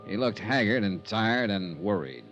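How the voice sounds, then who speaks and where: Wry, hard-boiled detective, expressive delivery. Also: male voice, fairly low pitch, measured pace, dull audio. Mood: wry, matter-of-fact. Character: wry, hard-boiled male insurance investigator. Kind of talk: 1950s radio detective drama acting and narration. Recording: vintage radio recording with music behind.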